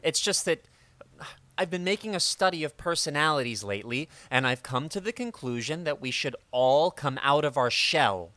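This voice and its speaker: A man's voice: fairly high pitch, animated, moderate pace, bright, forceful tone, no echo. A man playing an eager, hapless teenage boy in a 1940s-style radio comedy, gabbing earnestly. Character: eager, hapless teenage boy schoolmate, showing off party tricks.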